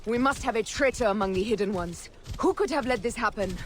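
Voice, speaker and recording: A woman's voice: a little high, fairly quick, a little hiss or hum, close to an everyday voice. An actress playing a wary, commanding woman fighter, terse and serious, in a video game drama. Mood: suspicious.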